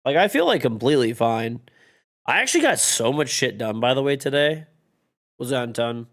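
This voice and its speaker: A man's voice: medium pitch, sing-song, moderate pace, fairly bright tone. A man in his thirties streaming from home, chatting loosely with his viewers while congested and losing his voice, swearing often and rambling between topics. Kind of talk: casual chat with stream chat.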